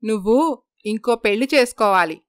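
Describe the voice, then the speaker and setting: A woman's voice: fairly high pitch, normal speed, no room sound, studio quality, close to an everyday voice. A woman voicing a worried, stern older mother-in-law in a moral story.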